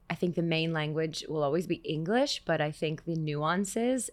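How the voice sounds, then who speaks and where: A young woman from Canada, a singer guest, talking in a relaxed, reflective way and laughing easily. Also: low voice. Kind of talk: casual interview chat.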